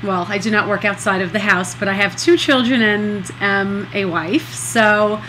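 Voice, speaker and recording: A middle-aged woman in a casual video diary, talking slowly and earnestly with a congested nose and many pauses. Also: medium pitch, noisy background. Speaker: vlogger recovering from nose surgery.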